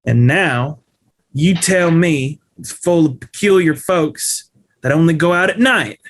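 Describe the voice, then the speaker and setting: A fairly high male voice from an actor playing a wary frontier rifleman. He is blunt and confrontational, pressing with suspicion in a western table read. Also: measured pace, close to an everyday voice.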